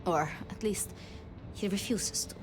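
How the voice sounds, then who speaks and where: An actress voicing a gentle, anxious, idealistic female vampire in a video game, with a hesitant, earnest manner. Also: medium pitch, unhurried, over music, close to an everyday voice. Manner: hesitant, earnest, flustered, polite.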